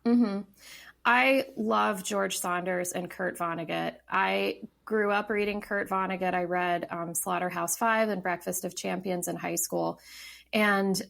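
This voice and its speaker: A woman's voice: medium pitch, fairly even intonation, measured pace, creaky, fairly bright tone. A woman in her thirties, a novelist talking casually as a podcast guest, thoughtful, with many fillers and restarts. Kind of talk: casual interview talk.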